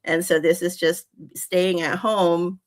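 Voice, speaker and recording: A woman in her forties, originally from the Philippines, talks as a guest in a relaxed chat. Her voice sits low, and she fills her talk with ums and quick laughs. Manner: chatty, laughs often, many fillers. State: unwell. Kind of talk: casual chat.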